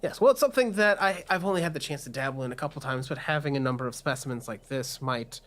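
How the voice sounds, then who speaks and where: A man's voice: medium pitch, quick pace, a bit bright, close to an everyday voice. A man playing an eager, amoral back-alley cybernetics surgeon with a blithe, hesitant salesman's patter in a tabletop game. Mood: eager, persuasive.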